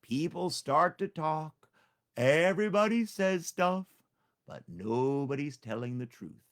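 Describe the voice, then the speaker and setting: A man's voice: fairly high pitch, expressive, slow, voice pushed up. A boastful little animal cowboy, as a man puts him on. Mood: sweet-talking. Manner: brash, drawling, bragging. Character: boastful small male animal playing cowboy. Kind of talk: storytelling for children.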